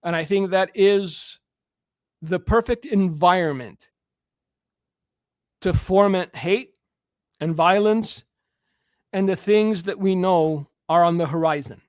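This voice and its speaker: Guy with a high voice preaching earnestly on a radio show, stumbles and restarts a lot, dry close mic. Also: slow, pauses often, darkish tone, no echo. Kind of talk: preaching commentary.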